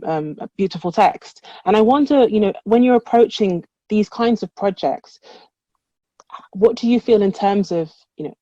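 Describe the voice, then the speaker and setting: A woman with a dark, mellow, slightly low voice asks long, careful academic questions, pausing on ums as she builds them. The sound is dry and dull, with little room and a lo-fi edge. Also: no room sound. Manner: measured, hesitant with um and restarts. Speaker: conference interviewer.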